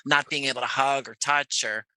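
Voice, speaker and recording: Bright-voiced, thoughtful American man. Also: medium pitch, steady tone, normal speed, dry recording. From Jersey City, United States. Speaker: podcast guest, audio playwright. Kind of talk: reflective conversational interview.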